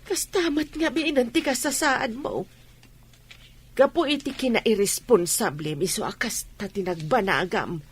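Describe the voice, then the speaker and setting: A woman's voice: on the high side, a little slow, breathy edge. A woman with an Ilocano accent acting a reproachful, preachy village mother in a radio drama. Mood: stern. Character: scolding, moralizing village mother of a pregnant daughter.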